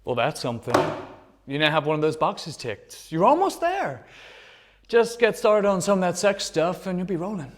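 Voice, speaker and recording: A man's voice: high voice, sing-song, moderate pace, reverberant, a little hiss or hum. A man playing a sarcastic, lazy young sitcom roommate with a dry, sardonic delivery.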